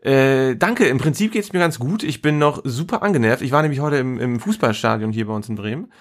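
A man co-hosting a podcast, slightly hoarse, talking in a lively, colloquial way and laughing easily. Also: mid-range voice, a little fast. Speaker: podcast co-host. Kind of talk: casual chat.

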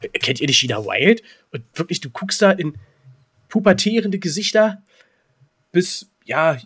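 A middle-aged man co-hosting a casual podcast, talking in a rambling, jokey, excitable way. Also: sits high, measured pace, husky. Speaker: podcast co-host.

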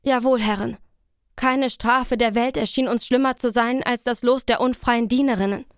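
A woman plays a runaway serving woman on trial in a radio drama, answering meekly and submissively. Her voice is fairly high and recorded quite dry. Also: steady tone, normal speed, no room sound, lightly acted.

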